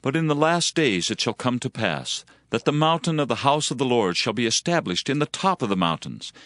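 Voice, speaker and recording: An actor playing a fervent, solemn prophet in a dramatized scripture reading, declaiming judgment and hope. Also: male voice, mid-range voice, big pitch swings, a little fast, a bit bright, dry recording, clean studio sound. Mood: solemn, exalted. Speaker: Bible narrator. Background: music.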